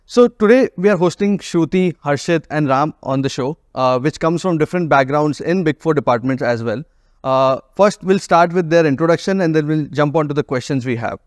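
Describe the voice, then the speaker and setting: A man's voice: fairly high pitch, animated, normal speed, no room sound, clean studio sound. A man with an Indian accent hosting a casual panel interview podcast. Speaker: podcast host.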